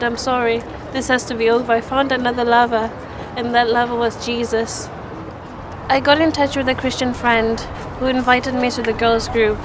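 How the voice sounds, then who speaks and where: Young woman from Zimbabwe, steady and earnest. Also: on the high side. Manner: earnest, even delivery with small restarts. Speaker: church testimony speaker. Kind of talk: personal faith testimony. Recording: outdoor street noise behind the voice.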